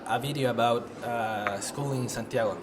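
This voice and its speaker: Halting young man, eighteen. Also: mid-range voice, background noise. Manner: halting, with uh fillers and restarts. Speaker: English pedagogy student. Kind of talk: class video presentation.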